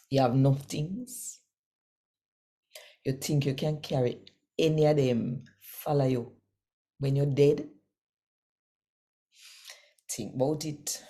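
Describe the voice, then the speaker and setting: A woman with a Jamaican accent who hosts and reads scripture expressively, addressing listeners directly and deliberately. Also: very deep voice, dark tone. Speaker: channel host and scripture reader. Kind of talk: expressive scripture reading and channel presenting.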